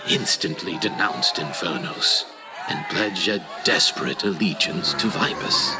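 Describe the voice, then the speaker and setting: A man's voice: low voice, animated, a little slow, crowd noise. An actor playing an old male archivist who tells war history in a theatrical, conversational storytelling style for an audio drama. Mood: solemn storytelling.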